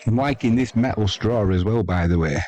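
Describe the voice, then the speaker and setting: A man's voice: fairly low pitch, a little fast, a little mellow. A man with an English accent giving casual, rambling, chatty live cricket commentary as the host of a sports stream.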